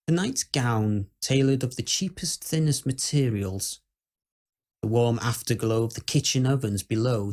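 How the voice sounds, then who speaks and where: Man acting a formal, uneasy Victorian gentleman storyteller. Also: mid-range voice, lightly acted. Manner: formal, old-fashioned, measured phrasing. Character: dread-filled, skeptical Victorian gentleman recounting house ghosts. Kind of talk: first-person dramatic ghost-story monologue.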